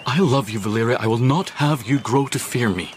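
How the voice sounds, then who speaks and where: An actor playing a bitter, secretive Victorian husband with a husky voice and British accent, formal and intense in a radio drama. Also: male voice, mid-range voice, a little fast, a little hiss or hum, lightly acted. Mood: urgent, warning. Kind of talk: period radio drama acting.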